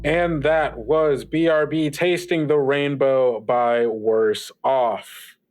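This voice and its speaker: A man playing a wistful, laid-back late-night radio host who muses haltingly to listeners in a fiction podcast. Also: mid-range voice, darkish tone, soft background music, close to an everyday voice. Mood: pensive, wistful. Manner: halting, rambling, reflective, with filler words.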